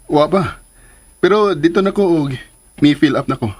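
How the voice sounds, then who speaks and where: A man's voice: a little high, normal speed, darkish tone, slightly rough. An actor with a Filipino accent playing a smitten man scheming to win back an old love in a radio drama. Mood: polite, hesitant. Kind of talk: Philippine radio drama acting.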